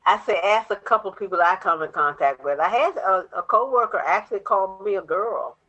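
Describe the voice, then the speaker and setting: A woman co-hosting a podcast, speaking bluntly and matter-of-factly. Her voice is bright and forceful, while the audio sounds a little dull and lo-fi. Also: mid-range voice, brisk. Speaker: podcast co-host. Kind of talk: casual podcast conversation.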